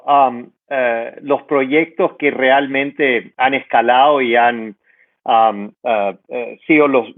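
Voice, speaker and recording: Male podcast host on a phone-like line. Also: mid-range voice. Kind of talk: conversational interview.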